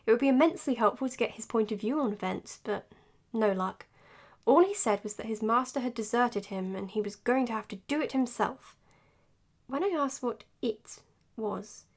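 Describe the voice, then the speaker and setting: A woman playing an earnest, dutiful psychiatrist in an audio drama, speaking in a measured, precise, professional way. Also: a little high. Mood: measured, thoughtful. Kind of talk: audio drama acting as spoken case notes and dialogue.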